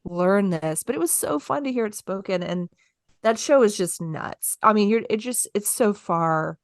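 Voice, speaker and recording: A woman's voice: mid-range voice, animated, moderate pace, creaky, no room sound. Chatty podcast host lady in her 30s, bubbly and rambling, dead dry mic. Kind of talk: casual conversation.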